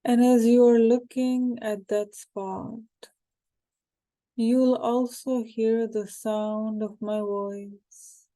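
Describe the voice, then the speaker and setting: A woman guiding a hypnosis meditation in a calm, unhurried, soothing way, with short phrases and pauses. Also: fairly high pitch. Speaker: hypnosis guide.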